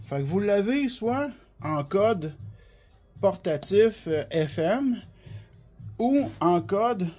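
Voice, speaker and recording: High-pitched Québécois man explaining gear. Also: warm tone. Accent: Québécois French. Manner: colloquial, repetitive, step-by-step explaining. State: has the flu, coughing now and then. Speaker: CB radio specialist giving a product demonstration. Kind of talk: informal product explainer. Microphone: phone held by the speaker.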